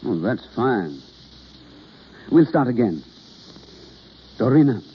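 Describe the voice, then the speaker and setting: An actor playing an instructive, theatrical understudy coaching a novice in an old-time radio drama, on a noisy, dull vintage recording. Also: male voice, mid-range voice, sing-song, slow pace. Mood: hesitant, polite. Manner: instructive, theatrical, hesitant with uhs. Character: male theatre understudy coaching a novice, impatient yet kindly.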